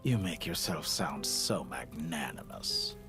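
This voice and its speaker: An actor playing a cold, cultured villainous scientist in a video game, speaking in eloquent, measured, theatrical phrases. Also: male voice, very deep voice, expressive, exaggerated voice. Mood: wry, resigned. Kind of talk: scripted video game voice acting. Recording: music under the voice.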